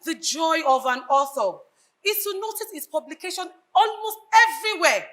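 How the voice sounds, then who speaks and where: A woman with a Nigerian accent playing a grieving, idealistic reformer in a live stage drama, declaiming in measured oratorical phrases. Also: extremely high pitch, expressive, sharp tone, exaggerated voice. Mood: wistful, earnest.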